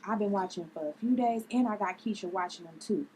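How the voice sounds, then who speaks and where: A woman's voice: medium pitch, fast talker, a little mellow, a little hiss or hum, natural voice, low-quality recording. A woman at a table read playing a brash, bossy, street-talking vigilante sister. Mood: determined.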